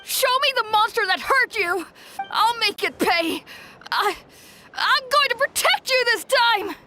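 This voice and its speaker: A woman's voice: extremely high pitch, expressive, a little slow, sharp tone, a little hiss or hum, exaggerated voice. A woman voicing a fierce, protective young boy, a hot-headed little brother in a video game. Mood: fierce, desperate.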